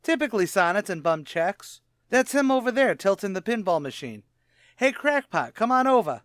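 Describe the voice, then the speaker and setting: A brash tavern manager acted by a man cracks wise in 1940s radio comedy style. His pitch swoops freely as he mixes streetwise slang with puffed-up, would-be elegant words. The sound is dry and close. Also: sing-song, normal speed, fairly bright tone, no echo. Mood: brash, chummy. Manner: streetwise slang mixed with pompous affected words. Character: brash wisecracking tavern manager man, mock-genteel malapropist.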